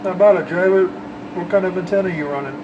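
A man's voice: high-pitched, fast, lo-fi sound. A man chatting casually and in folksy slang, as an operator talking on CB radio. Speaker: CB radio operator, channel host. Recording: background hum and noise.

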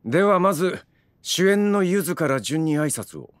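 A man's voice: fairly high pitch, expressive, moderate pace, natural voice. An actor playing a stern, commanding male stage director in an audio drama, uncompromising and demanding. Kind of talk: Japanese audio drama acting.

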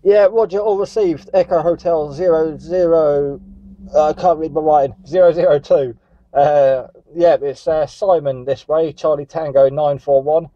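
A man's voice: a little high, steady tone, normal speed, dark tone, no echo. A man chatting and calling for contacts as a CB radio operator. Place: static vehicle on a seafront headland. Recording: dull lo-fi CB radio recording.

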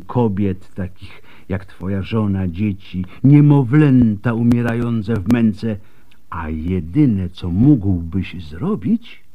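An actor playing a weary, bitter, bluntly honest doctor in a radio drama, speaking deliberately with sighs. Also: male voice, on the low side, lo-fi sound.

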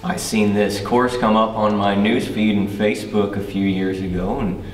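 Low man, distant and reverberant. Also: little pitch movement, moderate pace. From Missouri, United States. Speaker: caving class guide. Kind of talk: casual interview. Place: inside a rocky cave. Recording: distant, echoing cave, faint music.

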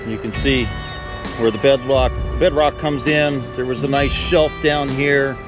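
A middle-aged man casually narrating his gold prospecting on a riverside gravel bar, relaxed and chuckling as he works. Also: mid-range voice, measured pace, over music.

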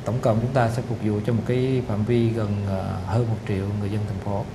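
A middle-aged man explaining a city project in a formal interview, measured and full of "ờ" pauses. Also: fairly low pitch, little pitch movement, noisy background. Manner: measured, explanatory, frequent "ờ" fillers. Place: studio office set with photo wall. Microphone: lapel mic.

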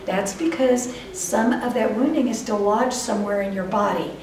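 A woman's voice: mid-range voice, normal speed, far from the mic, slight background noise. Chatty Texas woman lecturing in a room. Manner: lively, chatty, addresses audience directly, acts out voices of dreams. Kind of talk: animated lecture to a live audience. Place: meeting room before a whiteboard. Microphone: far camera. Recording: roomy echo, voice from afar.

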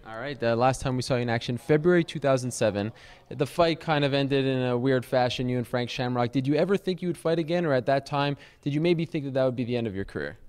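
A young adult man interviewing a fighter in an indoor hallway, holding a handheld mic, asking brisk, upbeat questions. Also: medium pitch, darkish tone, clear, resonant tone. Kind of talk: sports interview. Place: indoor hallway with stone wall.

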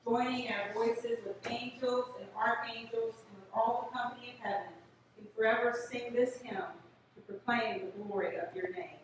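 An older woman, a priest, recites liturgical prayer in a solemn, measured, declaiming manner. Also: on the high side, fairly even intonation, distant mic. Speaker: Episcopal priest. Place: inside a small church at the altar. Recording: echoey church from afar, noisy background.

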